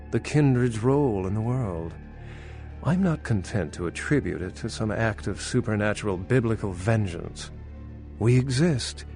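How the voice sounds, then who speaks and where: A centuries old vampire professor from a video game, super expressive, skeptical, kinda snooty and lecturing, faint music behind. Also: male voice, lowish voice. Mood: thoughtful. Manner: articulate, lecturing, wry, pedantic. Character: centuries-old male vampire scholar, erudite, skeptical, dryly condescending. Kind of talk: video game dialogue acting.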